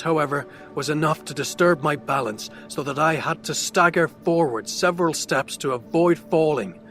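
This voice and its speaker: Guy doing a tormented loner narrating gothic horror, theatrical n intense, dark ambient music under it. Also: on the high side. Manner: theatrical, ornate, swelling into intense emphasis. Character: tormented reclusive outcast recounting his horror, male. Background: dark fantasy ambience music.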